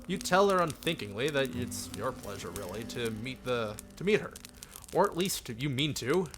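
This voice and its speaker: A man's voice: mid-range voice, sing-song, moderate pace, fairly bright tone. A man reading a visual novel aloud on a live stream as narrator, in a theatrical storybook delivery.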